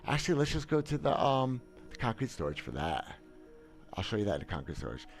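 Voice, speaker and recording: A man in his thirties brags bluntly about his own skill, stumbling over restarts as he goes. His low voice swings widely in pitch. It is picked up on a headset mic in a small room, with faint music running underneath. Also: big pitch swings, fast talker, vocal fry. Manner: boastful, blunt, many restarts and stammers. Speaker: gaming streamer. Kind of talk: casual livestream commentary. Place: small room, seated in gaming chair. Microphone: headset, webcam framing.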